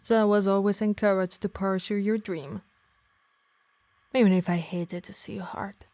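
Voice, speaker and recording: A woman performs an obsessive harpy woman for an ASMR roleplay, speaking very close and dry with a light Italian accent. Her breathy voice drifts into whispers and slow, menacing emphasis, tender and possessive at once. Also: medium pitch, fairly even intonation, warm tone, no echo, natural voice. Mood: tender, lovestruck. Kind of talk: intimate ASMR roleplay acting.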